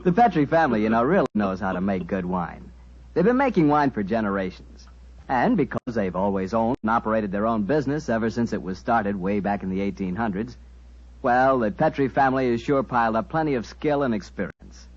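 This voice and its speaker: A man's voice: lowish voice, lively intonation, brisk. An American man announcing in 1940s radio style, with folksy, upbeat sales patter. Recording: muffled 1940s radio broadcast recording.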